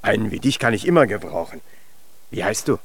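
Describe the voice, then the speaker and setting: An actor playing a middle-aged dwarf blacksmith, a gruff, hearty and domineering father in a children's radio play. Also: on the low side, big pitch swings, a little fast. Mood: hearty, brisk. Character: blustery, ambitious dwarf blacksmith, father of a grown daughter.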